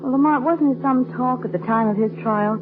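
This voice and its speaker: A woman's voice: high voice, fairly quick, noisy background, lightly acted. A woman playing an alert, sceptical lady companion in a 1940s radio mystery, inquisitive and poised. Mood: curious, wry. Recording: thin, phone-like 1940s radio recording.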